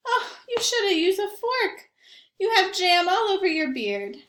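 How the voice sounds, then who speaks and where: A woman's voice: extremely high pitch, sing-song, a little slow, fairly bright tone, echoey room, voice pushed up, exaggerated voice. A woman voicing a kindly, fussing old grandmother who cannot see or hear well. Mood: fond. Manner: fond, fussing and sing-song. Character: kindly old woman, half blind and half deaf, chatty.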